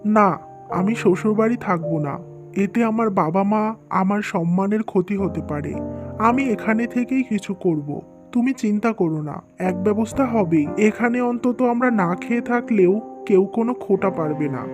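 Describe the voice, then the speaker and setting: A woman's voice: medium pitch, normal speed, over music, natural voice. A woman voicing an earnest, worried young husband and dutiful son in a scripted cartoon, speaking plainly. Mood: resolved.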